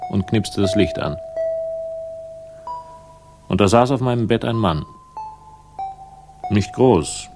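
An actor playing a world-weary private investigator, dry, sardonic and slightly gravelly, in a 1960s German radio crime drama. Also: male voice, on the low side. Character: world-weary, wry, tall male private investigator narrating.

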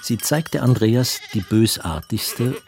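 An actor playing an observant, composed storyteller, narrating a literary radio play in careful, expressive phrases. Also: male voice, lowish voice, takes it slowly. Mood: descriptive.

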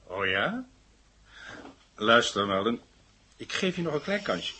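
An actor playing a stubborn, skeptical, gruff police inspector who questions sternly in a 1970 radio drama. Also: male voice, medium pitch, very animated, slow pace, sharp tone, slight background noise, exaggerated voice, poor audio.

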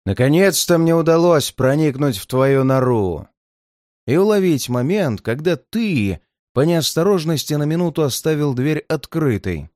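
A hulking, cruel giant of a man threatening his victim in a storybook, as a male narrator puts him on. He speaks slowly and deliberately, with a lively, rising and falling delivery. The sound is close and dry. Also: on the high side, dry recording, lightly acted. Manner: threatening, slow and heavy. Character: menacing red-haired male giant, cruel and gloating.

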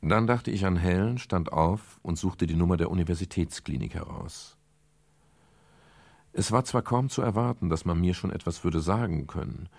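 Here is a hard-boiled male private eye in a radio crime drama. His voice is very low and gravelly, with a husky edge. He is dry, laconic and brusque, sardonic about the world around him. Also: moderate pace, darkish tone, dry recording. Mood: laconic, brooding. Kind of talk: 1970s German radio crime drama, first-person narration.

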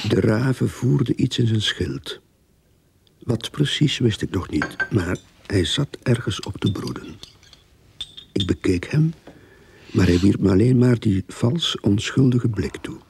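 A man playing a wry detective's sidekick, narrating a radio play in a dry, gravelly voice. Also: on the low side, lively intonation, slow, pauses often, a little mellow, slight background noise, heavily acted.

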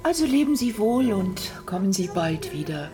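A woman's voice: mid-range voice, sing-song, moderate pace, close to an everyday voice. A woman playing a gracious society hostess in a radio play. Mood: gracious farewell. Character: gracious hostess bidding a guest farewell. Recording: music behind the voice.

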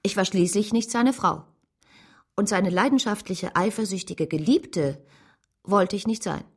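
An actress playing a proud, anxious, lovesick gentlewoman in a German radio drama, earnest and emotional. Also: medium pitch, unhurried, slightly rough. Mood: wounded. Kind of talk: German radio drama, dialogue and first-person narration.

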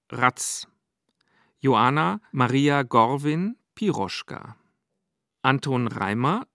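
A man reading the closing credits of a radio play in a measured, formal announcing manner, in an old recording. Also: mid-range voice, vocal fry, fairly bright tone, dry recording. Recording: dry old 1951 broadcast with faint music.

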